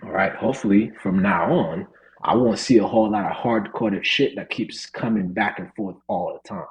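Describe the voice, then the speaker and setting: A man's voice: on the low side, moderate pace, rough recording. A man teaching a live online coding class over a video call, talking casually with lots of "right?" and "you know". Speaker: coding instructor.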